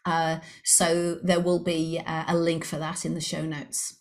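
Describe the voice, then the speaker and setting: A woman's voice: low voice, completely flat delivery, a little slow. A middle-aged woman from Liverpool, England, with an English accent blended with Australian, explaining sales techniques upbeat as a podcast guest. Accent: English mixed with Australian. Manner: upbeat, explanatory, acts out inner voices. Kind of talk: podcast interview.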